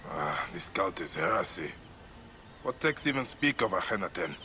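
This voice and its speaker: A grim warrior, low voice. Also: male voice, very animated, a little hiss or hum, full character voice. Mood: stern. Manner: stern and measured, grows urgent. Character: grim male warrior on a quest. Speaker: protagonist of a game. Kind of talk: video game voice acting. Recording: game audio under loud score.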